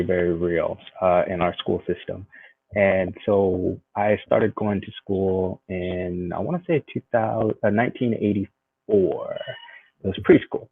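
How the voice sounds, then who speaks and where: A man's voice: low-pitched, breathy edge. A man in his forties, a guest in a conversational interview, speaking thoughtfully with frequent fillers and restarts over a video call. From United States. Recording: tinny phone-like video call.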